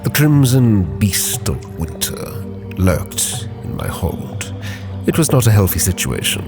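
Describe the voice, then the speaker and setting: A man narrating a dramatic story as a sea captain reading his log, solemn and measured, with phrases that sink into a hush. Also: fairly low pitch, very animated. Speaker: narrator and roleplayer. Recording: music under the voice.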